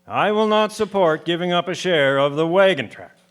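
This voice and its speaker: A man performs a folksy country horseman from the 1890s in a radio play. He is stubborn and plain-spoken, grumbling in a somewhat high male voice. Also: normal speed, a bit bright. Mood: stubborn, indignant. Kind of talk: radio drama acting.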